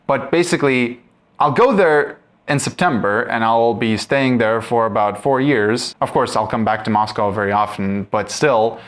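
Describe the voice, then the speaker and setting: Young male vlogger from Russia, native Russian speaker, talking casually to camera, thoughtful and hesitant, mid-range pitch. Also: moderate pace. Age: young adult. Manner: thoughtful, hesitant, restarts when searching for words. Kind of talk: casual rambling vlog talk.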